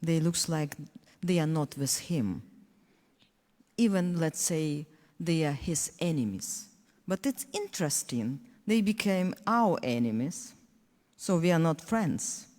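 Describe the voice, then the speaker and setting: A Ukrainian-accented woman whose first language is Russian speaks on a panel in earnest, halting English, often restarting her sentences. Her voice sits low and mellow. It rings out with a long reverb in a large hall. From Ukraine (Donetsk). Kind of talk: panel discussion speech.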